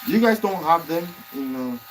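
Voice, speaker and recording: A man with a fairly high voice tells stories in a barbershop chat. He talks with animation and repeats words when he gets excited. Faint shop noise runs under his voice. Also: normal speed, poor audio. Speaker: barbershop podcast guest. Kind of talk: casual chat.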